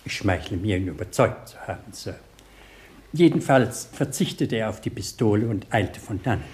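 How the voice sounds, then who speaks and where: An actor playing an ironic, deadpan butler in a radio play. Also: male voice, on the low side, normal speed, close to an everyday voice. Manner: dry, deadpan, sardonic. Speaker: George.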